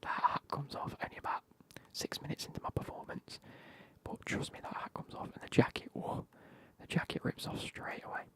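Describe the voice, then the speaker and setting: A man's voice: a little fast, pushed and bright. A man, whispering as he banters with his live stream chat and swears now and then.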